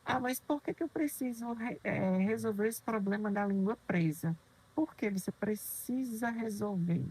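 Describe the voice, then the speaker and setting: Soft-voiced woman lecturing on dentistry. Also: mid-range voice, moderate pace, slightly rough, poor audio. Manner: didactic, poses questions and answers them, frequent "né?". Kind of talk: explanatory lecture.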